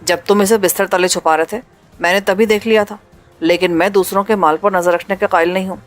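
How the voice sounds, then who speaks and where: A woman voicing a male fellow prisoner who speaks calmly and dryly. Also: medium pitch, soft background music, pitched below the performer's own voice, natural voice.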